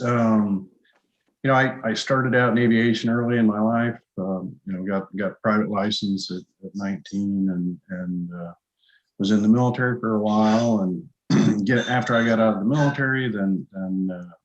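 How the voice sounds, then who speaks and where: Echoey low-voiced older man explaining. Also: fairly even intonation, moderate pace, highs cut off. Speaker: guest, avionics industry professional and pilot. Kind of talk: conversational explaining in an interview. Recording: echoey, roomy call audio.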